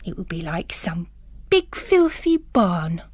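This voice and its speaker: A woman's voice: lowish voice, sing-song, takes it slowly, darkish tone, breathy edge, no room sound, pitched below the performer's own voice, heavily acted. A woman voicing a pious, anxious, devoted female housekeeper, earnest and fretful, in a literary drama. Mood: anxious pleading.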